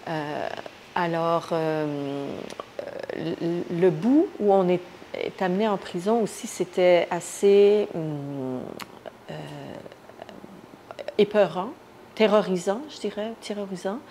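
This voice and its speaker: A woman from Iran giving a spontaneous first-person account in an interview, often pausing to search for words. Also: on the low side, warm tone. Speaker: interviewee, activist recounting her detention. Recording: noticeable background noise.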